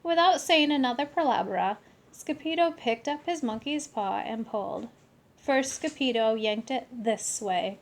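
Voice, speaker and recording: Slow, high-voiced woman reading a storybook. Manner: animated storytelling with deliberate phrasing. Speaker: storybook reader. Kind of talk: expressive children's read-aloud.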